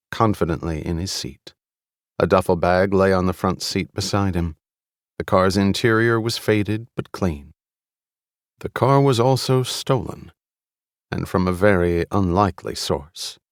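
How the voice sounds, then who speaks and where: An American man narrating an audiobook from a script, with deliberate, suspenseful, dramatic storytelling. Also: low voice, no room sound, clean studio sound.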